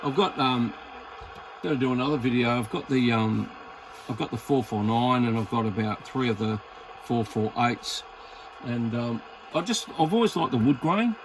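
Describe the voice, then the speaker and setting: Casting: Australian male hobbyist, creaky mid-pitched voice, informal off-the-cuff unboxing chat over faint background noise. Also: moderate pace, low-quality recording. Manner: rambling, informal, thinking aloud with mild swearing. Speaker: hobbyist radio reviewer. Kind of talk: casual unboxing and test commentary.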